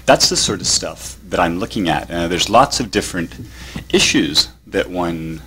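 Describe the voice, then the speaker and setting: A man with a Canadian accent giving a measured academic conference talk, with pauses. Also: low-pitched, lively intonation, pushed and bright, slight background noise, low-quality recording. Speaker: panelist. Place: conference hall.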